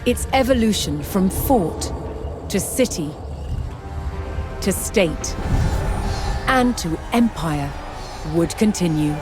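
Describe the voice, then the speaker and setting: A woman reading scripted historical narration for a strategy game, in a measured, dramatic storytelling delivery. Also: medium pitch, expressive. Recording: music under the voice. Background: background music.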